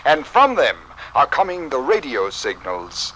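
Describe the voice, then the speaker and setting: An actor playing a pompous, precise professor from the future in a 1950s radio drama, with formal diction. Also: male voice, mid-range voice, huge pitch swings, unhurried, bright, forceful tone, a little hiss or hum, full character voice, poor audio. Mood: lofty, proclaiming.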